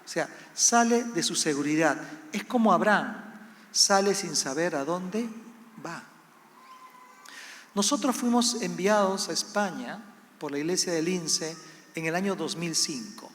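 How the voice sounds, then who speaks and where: Latin american guy early 50s preaching his testimony, emphatic, pretty high voice, big reverby room. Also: unhurried, fairly bright tone, a little hiss or hum. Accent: Latin American Spanish. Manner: emphatic, repeats phrases for effect, checks with listeners. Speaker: missionary pastor giving testimony. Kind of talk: sermon, personal testimony to a congregation. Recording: echoey hall with long reverb.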